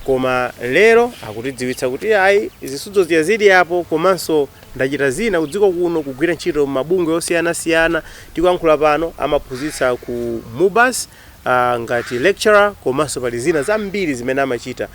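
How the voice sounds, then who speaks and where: A man's voice: on the high side, animated, fairly quick, a bit bright. A Malawian man hosting an interview show in a conversational style, introducing his guest and chiming in. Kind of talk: conversational hosting with frequent backchannels.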